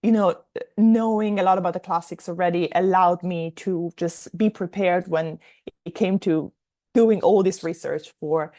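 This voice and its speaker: A woman's voice: fairly low pitch, normal speed, warm tone. A young woman from Italy, a native Italian speaker, answering interview questions as a novelist in a reflective, explanatory way.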